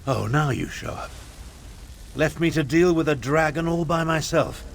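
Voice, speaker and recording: An actor voicing a proud, haughty, weary elderly battlemage in a video game, with a pompous, sardonic, measured manner. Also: male voice, fairly high pitch, vocal fry, a little hiss or hum. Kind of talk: video game character dialogue.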